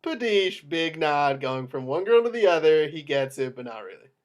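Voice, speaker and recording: A man's voice: fairly high pitch, big pitch swings, moderate pace. A man narrating screenplay action in clipped descriptive phrases at an amateur table read. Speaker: narrator reading stage directions.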